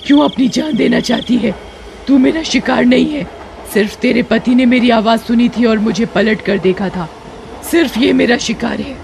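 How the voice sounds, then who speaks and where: A woman's voice: on the high side, normal speed, gravelly, a little mellow, slight background noise. A woman voicing a menacing, commanding female witch ghost in a cartoon horror story. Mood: angry, scolding. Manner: threatening, commanding.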